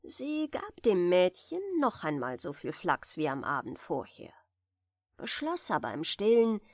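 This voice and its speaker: A woman reading a fairy tale softly and soothingly as a bedtime audiobook narrator, in a measured way. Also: lowish voice, big pitch swings, no echo, studio quality. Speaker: audiobook reader of fairy tales.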